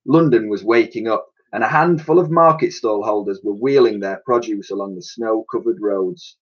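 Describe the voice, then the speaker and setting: A man reading a children's storybook aloud in an expressive, dramatic way, as a teacher would to pupils. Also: fairly low pitch, normal speed. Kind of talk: animated children's storybook narration. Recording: dull, lo-fi recording.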